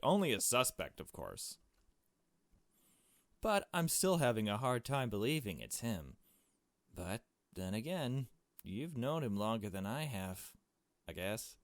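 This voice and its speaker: Man voicing doubtful young woman friend, low voice, tentative, questioning, visual novel dialogue, dry recording. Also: no room sound.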